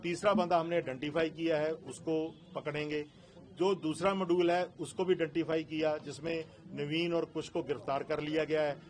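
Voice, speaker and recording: A male police officer gives a press briefing in a somewhat high-pitched voice with a Punjabi accent. He explains details haltingly, mixing in English terms as he goes. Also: fairly even intonation, a little mellow.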